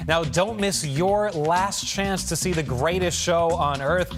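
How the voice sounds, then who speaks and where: A man with a somewhat high-pitched voice hosts a trivia game. His delivery is upbeat and scripted, with the polish of a promotional announcer. Music plays clearly behind him. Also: slow pace. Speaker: trivia game show host. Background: music bed.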